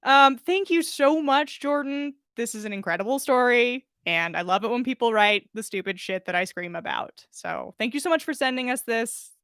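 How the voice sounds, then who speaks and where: A woman hosting a podcast, speaking in an expressive, casual storytelling style. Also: on the high side, normal speed, hardly any pauses, clear, resonant tone, no echo, studio quality. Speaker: podcast host reading fan fiction. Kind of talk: expressive story reading and casual livestream chat.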